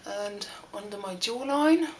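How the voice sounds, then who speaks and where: A young woman from Norway with a Norwegian accent, talking through a makeup tutorial with many pauses and restarts. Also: medium pitch, lively intonation, darkish tone, slight background noise. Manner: hesitant, many fillers and restarts. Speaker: beauty vlogger. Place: home room.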